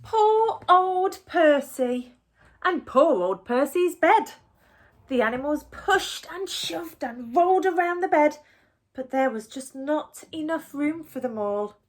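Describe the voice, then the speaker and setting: A woman reading a picture book aloud for young children in an expressive, deliberate storytelling way. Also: high-pitched. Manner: animated, deliberate, with asides to the listeners.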